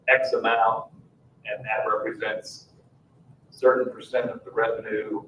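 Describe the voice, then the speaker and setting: A man's voice: fairly low pitch, fairly bright tone, slight background noise. A man on a commission asking questions informally at a public meeting, circling tentatively around his point. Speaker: commission member. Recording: echoey, distant, muffled meeting recording.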